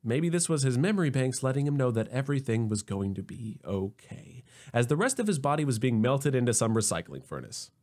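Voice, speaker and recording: Animated male storyteller with wry delivery. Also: medium pitch, brisk. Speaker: narrator. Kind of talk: scripted comedic story narration.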